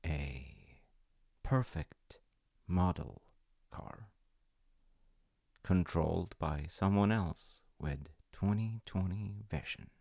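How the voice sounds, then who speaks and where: A man plays a calm, enigmatic angel from a story, speaking in a very low, gravelly voice. He is formally polite and cryptic, taking his time over every phrase. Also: drawn-out words, pauses often, no room sound, studio quality, heavily acted.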